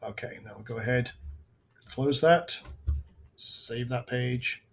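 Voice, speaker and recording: A man hosting a live software webinar, talking casually through on-screen steps with frequent restarts while his voice goes hoarse. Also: mid-range voice, slow pace. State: voice going hoarse. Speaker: webinar host.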